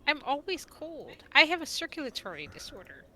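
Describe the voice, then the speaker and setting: A woman's voice: high-pitched, sing-song, fairly quick, sharp tone, slight background noise. A woman with a stuffed-up nose, chatting playfully while gaming at home. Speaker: co-player. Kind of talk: casual gameplay chat.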